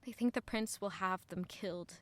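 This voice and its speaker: A woman's voice: medium pitch, normal speed, close to an everyday voice. An actress playing a guarded, wistful young lady-in-waiting in an audio drama, speaking earnestly and introspectively. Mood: subdued, wistful. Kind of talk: audio drama narration and dialogue.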